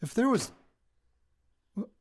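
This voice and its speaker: A man's voice: takes it slowly, natural voice. A man with an American accent playing a wry radio reporter in an audio drama, rambling hesitantly into his recorder and interrupting himself. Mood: alarmed. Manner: hesitant, self-interrupting, wryly joking. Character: rattled, wry young man, radio reporter returning home.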